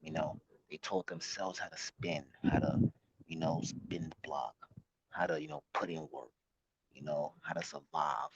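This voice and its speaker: Deep gravelly young man, muffled noisy recording. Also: fairly even intonation. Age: late 20s, about thirty. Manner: slangy, halting and repetitive. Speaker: vlog host. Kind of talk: casual street commentary. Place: outdoors.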